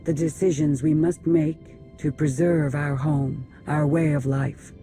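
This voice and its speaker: Guy playing a solemn alien prophet leader, super dark mellow voice, formal, game cutscene w faint music. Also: fairly high pitch, fairly even intonation, unhurried, natural voice. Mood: solemn foreboding. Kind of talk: video game cutscene acting.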